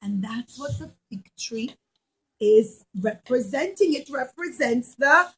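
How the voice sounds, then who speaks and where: Female children's storyteller: high pitch, slow pace, simple repetitive teacherly delivery. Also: lively intonation, husky, low-quality recording. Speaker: puppet show storyteller for children.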